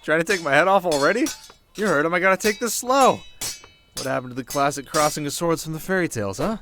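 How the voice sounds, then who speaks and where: A man's voice: fairly high pitch, animated, quick pace, noisy background. A man voicing a cheeky, laid-back warrior in an audio drama, flippant and teasing with casual slang. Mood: mocking. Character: cheeky, laid-back young warrior, loyal and food-loving. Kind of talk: ASMR roleplay audio drama acting.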